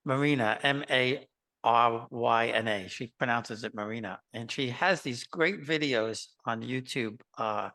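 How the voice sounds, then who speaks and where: A man's voice: medium pitch, pushed and bright. A man asking questions in a casual interview, often restarting his sentences. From Queens, New York. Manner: halting, with many restarts. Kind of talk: conversational interview.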